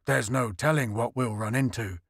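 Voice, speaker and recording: A man voicing a calm, determined male space officer in a story reading. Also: mid-range voice, normal speed, gravelly, no echo, clean studio sound. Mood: steady.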